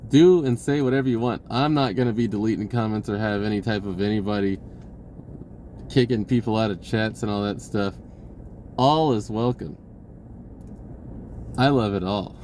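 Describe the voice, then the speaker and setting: A man giving an unhurried, reflective spiritual talk with many pauses, his voice lifting when he acts out an inner dialogue. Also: lowish voice, darkish tone, a little hiss or hum. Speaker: spiritual video host.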